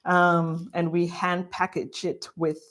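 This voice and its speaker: A woman's voice: on the low side, level tone, slow, a bit bright. A woman from Guatemala co-hosting a livestream, reading out viewer questions in a bubbly, laugh-filled way. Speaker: co-host.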